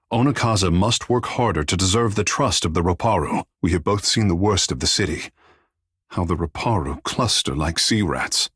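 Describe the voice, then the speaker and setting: Husky, theatrical young shark-folk charmer. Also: male voice, sits low, fairly bright tone, no echo, studio quality. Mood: self-assured. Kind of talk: video game voice acting.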